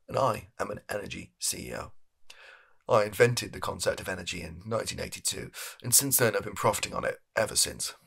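A young man acts out an evasive British male energy company boss in a comedy monologue. His voice is fairly low and husky, yet bright and close. He speaks softly and haltingly, with shameless deadpan self-justification. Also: exaggerated voice. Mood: smooth self-justifying. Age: young adult. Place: small home bedroom studio.

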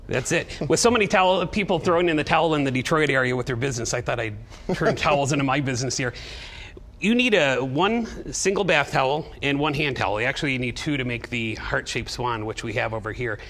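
A man's voice: medium pitch, fairly quick, fairly bright tone. A middle-aged man, chatting and joking as he gives step-by-step instructions as a guest on live television. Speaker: guest towel-folding demonstrator and seller. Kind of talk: casual live TV demonstration. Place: TV studio at a demonstration table.

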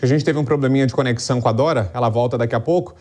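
A Brazilian man anchors the news in a medium-pitched voice. He sounds conversational, handing questions along from the studio desk. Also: racing, darkish tone. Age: 30s. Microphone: lapel microphone.

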